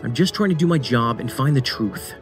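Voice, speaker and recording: An actor playing a dry, stubborn special agent in an audio drama, deadpan and matter-of-fact. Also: male voice, medium pitch, fairly quick, music in the background, lightly acted.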